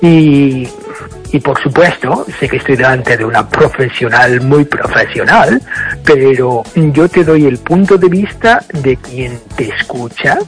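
An Irish man whose first language is English, speaking slowly and hesitantly with many pauses as a radio guest. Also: mid-range voice. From Ireland. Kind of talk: radio conversation.

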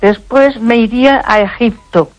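A woman's voice: fairly high pitch, a little slow, dull audio. An older woman with an accent from Spain, a radio caller chatting casually and sometimes searching for words. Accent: Spanish (Spain). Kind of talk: casual chat.

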